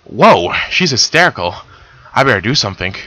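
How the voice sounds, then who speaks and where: A man's voice: medium pitch, sing-song, a little fast, pushed and bright, no room sound, rough recording. A man voicing a male pony, affectionate and teasing, in a sly manner close to his own voice. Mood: tender.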